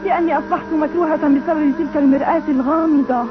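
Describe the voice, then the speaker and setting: An actress playing a gentle, principled young princess in a cartoon dub, earnest and sweet. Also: female voice, high-pitched, steady tone, very fast, natural voice. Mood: upset. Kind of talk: dubbed cartoon acting. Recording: tinny old cartoon dub, faint noise.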